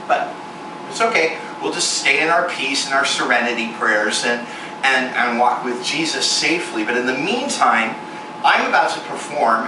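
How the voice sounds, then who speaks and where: Man in his forties, testimony sermon, emphatic and self-mocking, far from the mic, loud air-conditioning hiss. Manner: emphatic, candid, self-mocking, with sudden pitch jumps. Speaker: ministry performer speaking to a congregation. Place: large echoey venue room with air conditioning hum. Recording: echoey room recorded from afar with loud air-conditioner hiss.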